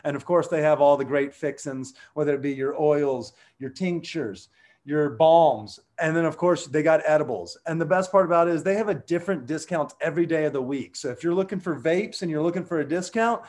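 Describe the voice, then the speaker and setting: A man hosting a casual podcast, talking with loud excited bursts and slang. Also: on the high side, a little fast. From US South. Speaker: podcast host. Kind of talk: casual podcast chat and sponsor read.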